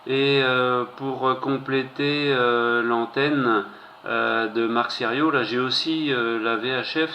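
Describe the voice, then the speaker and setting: A man's voice: medium pitch, bright, forceful tone. A man chatting casually as an amateur operator over two-way radio, hesitant and piecing his sentences together. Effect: two-way radio transmission. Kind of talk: casual radio contact chat. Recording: dull lo-fi radio, faint noise.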